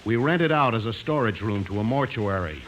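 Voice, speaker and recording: A man acting a matter-of-fact, dryly weary theater manager in a 1950s radio comedy, speaking deadpan. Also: fairly low pitch, a little fast, close to an everyday voice. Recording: very noisy old radio recording.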